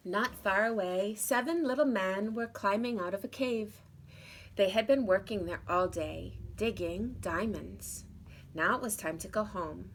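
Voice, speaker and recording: A woman's voice: mid-range voice, unhurried, slight background noise. A woman reading a children's story aloud expressively, as if to young listeners. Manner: addresses young listeners with questions. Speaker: storybook reader.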